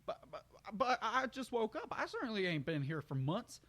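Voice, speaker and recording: Man putting on a high-pitched, folksy, bewildered pony. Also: big pitch swings, normal speed, a bit bright. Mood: surprised. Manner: folksy country talk, stammering. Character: confused, innocent, kindly young male cartoon pony, folksy.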